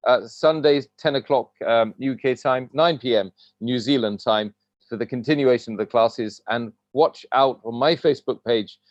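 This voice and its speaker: A man's voice: mid-range voice, normal speed, no echo, highs cut off. A middle-aged man hosting a live online class over a video call from home, upbeat as he reads out viewer comments and announcements. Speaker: host. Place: home room.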